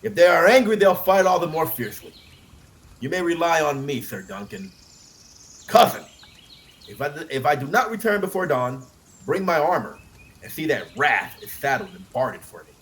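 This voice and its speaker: A man's voice: a little high, expressive, moderate pace, fairly bright tone, pitched above the performer's own voice. A man voicing a pompous young knight in an audiobook, boastful and grandly confident. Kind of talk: audiobook character acting.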